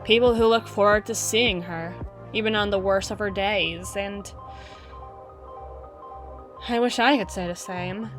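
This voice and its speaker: A woman playing a wistful, guarded female half-spider bouncer in an intimate roleplay monologue, musing with dramatic pauses and soft trailing phrases. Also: mid-range voice, steady tone, faint music behind, natural voice. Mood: bitter, resigned. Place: close-miked recording with music playing behind.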